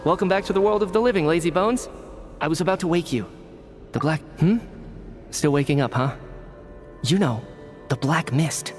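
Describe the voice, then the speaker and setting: Game role: zealous male survivor leader male actor, higher-set voice, emphatic urging, prominent music underneath. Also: normal speed, pauses often, lightly acted. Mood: brisk, urging. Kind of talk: dramatic video game voice acting.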